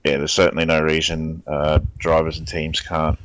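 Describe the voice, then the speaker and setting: An Australian race director in an online interview, explaining rulings in a measured way with frequent ums. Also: male voice, a bass voice, monotone, a bit bright, a little hiss or hum.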